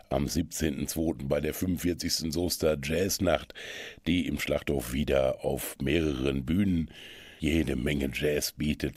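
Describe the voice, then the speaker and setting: A man's voice: extremely low pitch, a little mellow, no echo, clean studio sound. A man hosting a radio music magazine, presenting upcoming events and songs. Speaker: radio host.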